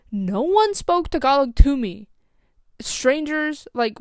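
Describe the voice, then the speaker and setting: A woman's voice: mid-range voice, clear, resonant tone, no echo. A young woman with an American accent telling a casual, rambling story as a vlogger, full of "like" and "um". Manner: rambling, scatterbrained, lots of "like" and "um".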